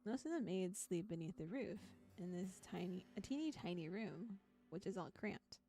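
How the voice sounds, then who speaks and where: A woman voicing a lonely young princess who is eager to please, speaking gently and hesitantly for a visual novel. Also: on the low side, animated, normal speed. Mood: anxious, gentle.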